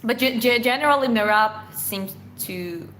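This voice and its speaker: A woman's voice: a little high, unhurried, fairly bright tone, slight background noise. A Georgian woman with an Eastern European accent, whose first language is Georgian, hosting a casual chat Q&A and answering viewer questions in a loose, rambling way with lots of fillers and restarts.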